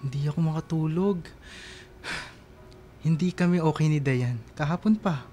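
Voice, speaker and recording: An actor playing an anxious, lovesick young man, earnest and halting, in a casual radio drama over faint music. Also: on the high side, mellow, natural voice. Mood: downcast, hesitant. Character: lovesick, anxious young man calling his best friend from abroad. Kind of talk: radio drama acting in casual Filipino talk.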